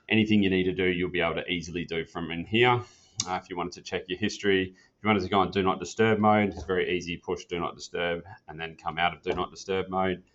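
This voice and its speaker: A man's voice: low voice, a bit bright, slight background noise. A man giving an informal product tutorial in a casual, plain-spoken, practical manner, like an instructor walking a learner through each step. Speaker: instructor demonstrating an office phone system. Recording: dull, muffled lo-fi sound.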